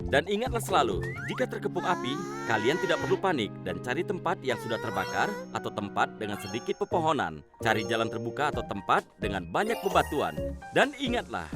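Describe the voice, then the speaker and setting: A man voicing a calm male fire truck robot rescuer in a dubbed cartoon, explaining safety rules in an instructive, reassuring way. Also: medium pitch, brisk, over loud music.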